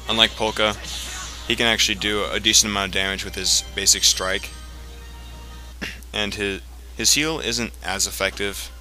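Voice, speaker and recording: A man with a fairly low voice gives casual commentary on a video game. He rambles and explains as he goes, halting and picking up again, with clear game music playing behind him. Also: pushed and bright, low-quality recording. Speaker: let's play commentator.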